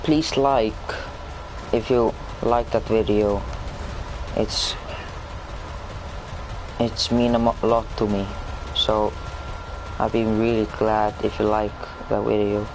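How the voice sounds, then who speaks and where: Slow-talking Icelandic-accented young man. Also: lowish voice, little pitch movement, background noise. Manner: slow, halting English with frequent switches to Icelandic. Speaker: gaming streamer. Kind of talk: casual gameplay commentary.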